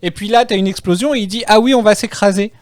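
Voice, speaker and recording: A man hosting a casual group podcast, repeating himself and telling things in an animated, hesitant way. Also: high voice, fast talker, no echo, clean studio sound. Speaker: podcast host.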